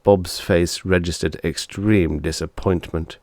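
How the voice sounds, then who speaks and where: A man's voice: low voice, steady tone, measured pace, a little mellow, dry recording. A man reading a short story aloud as scripted audiobook narration.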